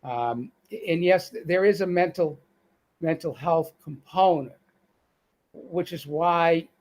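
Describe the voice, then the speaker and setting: A man's voice: a little high, slow, a little mellow. A middle-aged man with a Boston accent, talking as a guest on a live video call, with many fillers and restarts. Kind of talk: casual live-stream interview.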